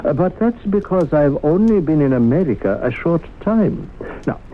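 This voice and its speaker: Pompous, theatrical traveling professor, swooping delivery. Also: male voice, a little high, very animated, moderate pace. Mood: smooth, persuasive. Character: grandiose, self-assured traveling professor who claims to raise the dead. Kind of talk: old-time radio drama acting. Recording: noisy, phone-like old radio broadcast.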